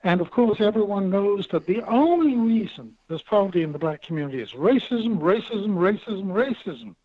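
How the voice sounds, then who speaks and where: High-voiced professor arguing emphatically. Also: male voice, big pitch swings, dry recording, phone-quality sound. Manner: measured, emphatic argument with repeated points. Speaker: professor guest. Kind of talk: talk radio discussion.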